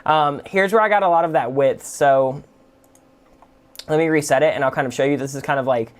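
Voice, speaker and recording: A man hosting a music production tutorial, explaining software casually and informally without a script. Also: fairly high pitch, a little fast. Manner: informal, explanatory, full of "like" and "kind of".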